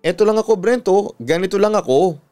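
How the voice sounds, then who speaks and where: A man's voice: high voice, fairly quick, warm tone, faint music behind, pitched above the performer's own voice, close to an everyday voice. A Filipino man voicing an anguished, self-doubting woman who pleads emotionally, as in a radio drama. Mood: anguished pleading.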